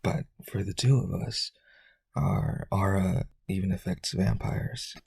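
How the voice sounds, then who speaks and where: A man playing a lonely vampire aristocrat in a romantic audio roleplay, pleading earnestly and haltingly in a breathy, husky voice. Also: steady tone, darkish tone, full character voice. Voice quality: husky, breathy low voice, often near whisper.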